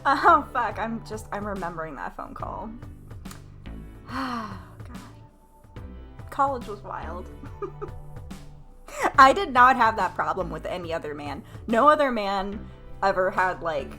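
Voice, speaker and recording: A woman's voice: medium pitch, sing-song, slow, long pauses, sharp tone, faint music behind. A woman in her late twenties telling stories casually to livestream viewers, candid and self-mocking, with crude humor and free swearing. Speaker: game streamer.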